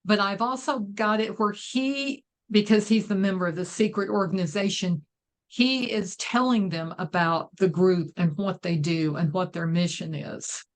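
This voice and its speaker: A woman's voice: medium pitch, a little slow. A woman speaking casually as a guest being coached, attentive and mostly brief in what she says. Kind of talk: casual conversation.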